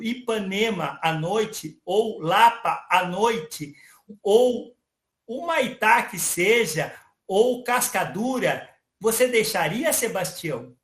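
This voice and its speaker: A man voicing a friendly virtual young male AI character, explaining calmly to an audience. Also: high-pitched, roomy sound, exaggerated voice. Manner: calm explanatory, addresses audience as "pessoal". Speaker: interviewee.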